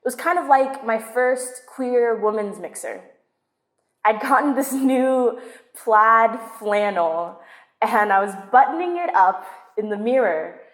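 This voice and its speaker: A woman from Southern California telling a personal story in a measured, confessional spoken-word monologue. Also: on the high side, lively intonation. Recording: roomy echoing space.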